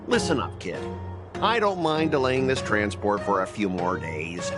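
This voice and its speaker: A man's voice: lowish voice, lively intonation, measured pace, over music. A man voicing an arrogant, hot-tempered company transport manager in a video game, pompous and condescending. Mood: smug, condescending. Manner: condescending, blustering.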